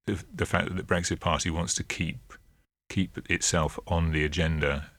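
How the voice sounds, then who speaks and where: A man co-hosting a political podcast, explaining in a measured, hesitant way with many fillers and sounding a little under the weather. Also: sits low, level tone, a bit bright, no room sound. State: a bit ill. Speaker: co-host and political editor. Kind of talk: casual political podcast chat.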